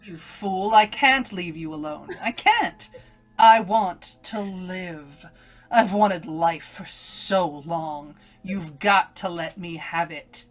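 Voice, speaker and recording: Slow, taunting evil inner voice. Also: female voice, fairly low pitch, faint music behind. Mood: commanding, desperate. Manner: taunting, domineering, insistent. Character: evil woman's voice inside a young woman's head. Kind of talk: old-time radio horror acting.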